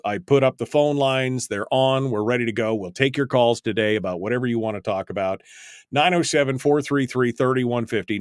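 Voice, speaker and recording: A man's voice: mid-range voice, no room sound. A man hosting a freeform talk radio call-in show, rambling in an emphatic, self-mocking way on a close studio microphone.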